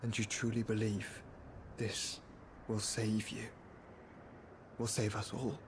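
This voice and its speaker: A man playing an earnest, protective young companion in a cinematic video game, speaking with measured intensity. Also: fairly low pitch, monotone, noisy background. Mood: hurt, subdued.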